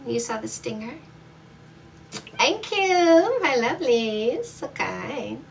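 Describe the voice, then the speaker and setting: A woman's voice: a little high, lively intonation, slow pace, vocal fry, recorded from a distance, slight background noise. A woman dating coach from Canada hosting a live stream, reading viewer comments aloud in an upbeat, affectionate way.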